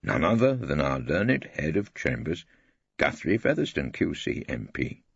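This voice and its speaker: A man playing a witty, weary old English barrister who narrates in a wry, pompous, husky voice. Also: very deep voice, very animated, measured pace, no echo, muffled recording, exaggerated voice. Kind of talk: scripted first-person audiobook narration.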